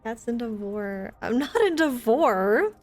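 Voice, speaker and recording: Woman, slightly high voice, casual livestream chat, chatty game streamer, soft music behind. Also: animated, slow. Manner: chatty, addresses viewers by name. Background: video game music.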